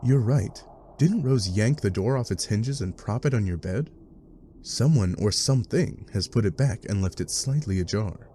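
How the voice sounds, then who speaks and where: A man's voice: on the low side, animated, normal speed, warm tone, slight background noise. A man reading scripted second-person webcomic narration with wry, dry asides. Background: music.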